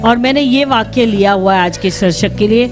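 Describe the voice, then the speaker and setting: A woman's voice: fairly high pitch, brisk. A woman interpreting a sermon phrase by phrase, echoing the preacher and climbing in force with him. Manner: echoes each phrase, climbs louder with the preacher. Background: faint music.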